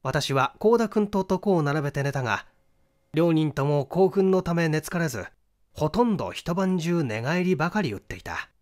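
A man giving a scripted narration of a mystery novel, in a dramatic, deliberate storytelling manner. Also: a little high, lively intonation, darkish tone. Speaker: audiobook narrator, stage actor.

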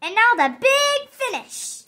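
Woman voicing an excitable, very high-pitched little pig. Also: slow, exaggerated voice. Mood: excited, bossy. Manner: exclamatory, emphatic. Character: excitable, insistent young pig, eager to perform.

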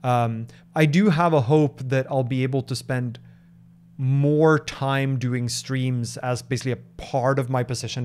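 A man in his thirties from Norway, answering viewer questions in a casual live stream, thoughtful and halting, with lots of fillers and restarts, speaking into a desk microphone in a home office. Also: medium pitch, darkish tone. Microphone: large microphone on desk in front.

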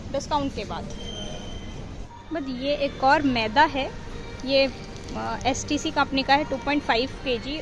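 Chatty woman reading supermarket prices. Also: high-pitched. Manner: chatty, walks through aisles reading out prices. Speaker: vlogger. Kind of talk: casual shopping vlog. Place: supermarket aisles, indoors.

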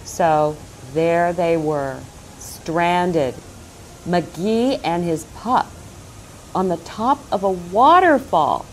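A middle-aged woman reading a picture book aloud to young children, expressively, with dramatic phrasing and pauses. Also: on the low side, animated, slight background noise, rough recording.

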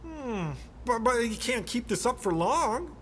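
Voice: mid-range voice, sing-song, unhurried, slight background noise. An actor playing an earnest police lieutenant in a video game, deferential, hesitant and eager to please the boss. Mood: puzzled. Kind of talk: cinematic game dialogue.

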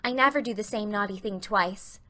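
A woman's voice: mid-range voice, brisk, pushed and bright, lightly acted. A woman voicing an imaginative, talkative little girl in an audiobook, eager and earnest. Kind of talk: audiobook character reading.

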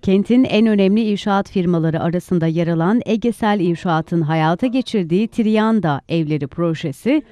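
Dark, dry news voice-over. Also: mid-range voice, normal speed, few pauses, no echo. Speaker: news report narrator.

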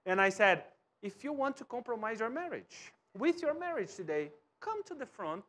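A Brazilian pastor in his thirties preaches in English with a strong Brazilian Portuguese accent. His voice sits very high for a man. He moves about a church stage, sometimes quiet and sometimes loud. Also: moderate pace. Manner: animated, wide gestures, swings from soft to loud. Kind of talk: sermon. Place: church stage with cross backdrop and bookshelves.

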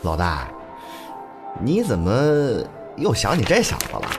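Casting: male actor as talkative, familiar gangster sidekick, mid-pitched and animated, for radio drama. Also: slow pace, over music. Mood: casual, deferential. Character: loyal, talkative male gang henchman, cocky and familiar. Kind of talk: audio drama acting.

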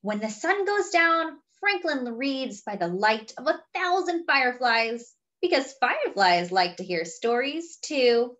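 A woman with a fairly high, very lively voice reads a children's picture book. She takes her time, stretching words for young listeners, and slips in chatty asides. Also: dull audio. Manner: exaggerated, drawn-out delivery addressed to young children. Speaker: storyteller reading a picture book aloud to children. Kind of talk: expressive children's read-aloud with chatty asides.

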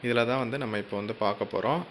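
A man's voice: medium pitch, steady tone, very fast, a bit bright, slight background noise. A man hosting a casual screen-recorded tutorial, chatty and walking through each step while often checking in with the viewer. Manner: chatty, keeps checking in with "okay?". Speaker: tutorial channel host.

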